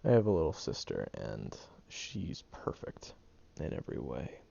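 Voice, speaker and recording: A man's voice: extremely low pitch, huge pitch swings, a little slow, vocal fry, dark tone, slightly rough, no room sound, full character voice. A man voicing a prickly young male student who secretly cares, talking hesitantly with stammers and ums in an audio roleplay. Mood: bitter. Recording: dull lo-fi recording.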